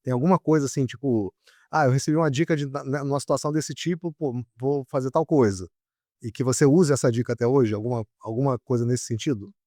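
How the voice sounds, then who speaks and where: A man's voice: medium pitch, quick pace, darkish tone, dry recording. A man with a Brazilian Portuguese accent hosts a podcast in a mid-range voice. He asks chatty questions and rewords them as he goes. The sound is dry and close. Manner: chatty, rephrases questions at length. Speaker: podcast host and interviewer. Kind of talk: casual interview questions.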